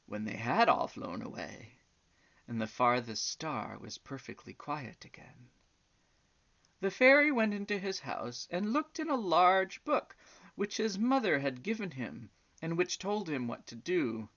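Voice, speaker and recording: Woman storyteller with animated, swooping delivery. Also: sits low, lo-fi sound. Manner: drawn-out, theatrical emphasis with repeated words. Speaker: storybook reader. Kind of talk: expressive storytelling with chatty asides to young listeners.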